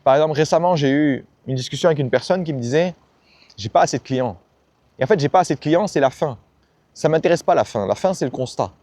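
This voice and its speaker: A man speaking at a rapid clip, blunt and slangy, giving a casual motivational talk. His voice is mellow and dark in tone. The recording is dry and close, with no room sound. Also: on the high side, animated, no echo. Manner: fast, blunt, slangy, acts out quoted people. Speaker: self-help YouTuber.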